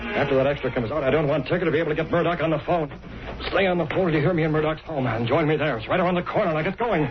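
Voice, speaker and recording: An actor playing a masked vigilante who talks like a menacing crook, clipped and taunting, in 1930s radio style. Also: male voice, fairly high pitch, very fast, over loud music, close to an everyday voice. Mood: brisk, commanding. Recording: noisy, dull vintage radio recording.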